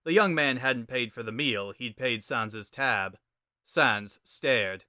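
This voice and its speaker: Male narrator for scripted fiction, mid-pitched, bright and crisp-edged, very dry close sound. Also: slow pace, no echo.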